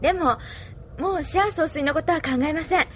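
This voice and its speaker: A woman's voice: sits high, brisk, a bit bright, a little hiss or hum. A woman playing a sweet, earnest young staffer devoted to her leader in an audio drama, polite and girlish. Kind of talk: anime-style audio drama acting.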